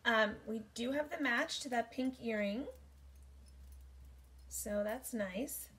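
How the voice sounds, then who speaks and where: Woman in her 40s, higher voice, very slow and unhurried, lots of ums, bedroom haul chat. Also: a bit bright, slight background noise. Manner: unhurried, thinking aloud with many ums. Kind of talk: casual show-and-tell haul video. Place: bedroom, indoors. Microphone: camera at desk distance.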